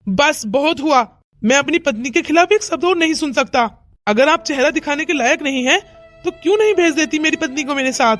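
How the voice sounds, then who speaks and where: A woman's voice: high voice, fairly quick, a bit bright. A woman voicing a loyal, assertive young husband in a melodramatic Hindi cartoon story. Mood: indignant, firm. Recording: music under the voice.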